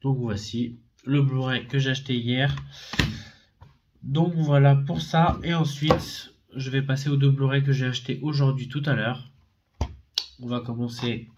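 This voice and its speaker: A man with a cold chats about his discs in a middle-pitched voice, stumbling over fillers and correcting himself as he goes. The recording is slightly muffled and lo-fi. The overall feel is relaxed and unpolished. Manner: rambling, hesitant with many fillers and self-corrections. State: has a head cold. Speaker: DVD and Blu-ray collector. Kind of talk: casual unboxing video.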